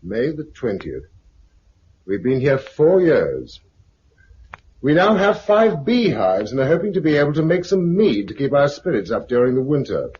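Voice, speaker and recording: An actor playing a weary, irritable, practical British survivor in 1950s radio drama style, clipped and sardonic. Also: male voice, medium pitch, big pitch swings, normal speed, darkish tone, breathy edge. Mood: sober reflection. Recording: muffled, noisy 1950s radio recording.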